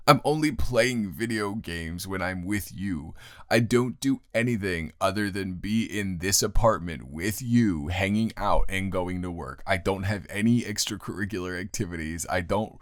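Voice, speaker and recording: A man improvising an intimate boyfriend roleplay as a teasing, affectionate roommate, talking in a casual, halting way with a low, gravelly voice. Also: dry recording. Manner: casual, halting, unscripted delivery. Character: grumpy, teasing, affectionate male roommate turned lover. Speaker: audio roleplay performer.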